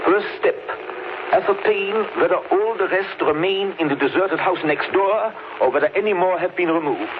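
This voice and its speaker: A man playing an elderly male professor leading vampire hunters, speaking gravely, formally and urgently in an old radio drama. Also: sits high. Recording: muffled 1930s radio broadcast, hissy.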